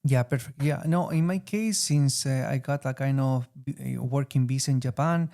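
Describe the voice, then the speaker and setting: Chilean man, smooth and mellow voice. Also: medium pitch. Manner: halting, searching for words with frequent fillers. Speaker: guest, former student. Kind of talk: interview answers.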